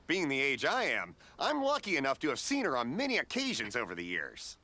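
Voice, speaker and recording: A man's voice: mid-range voice, huge pitch swings, a little fast, a little hiss or hum. A middle-aged man voicing a friendly, generous festival vendor in a video game, chuckling as he banters. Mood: jovial. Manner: jovial, chuckling, warm-hearted banter.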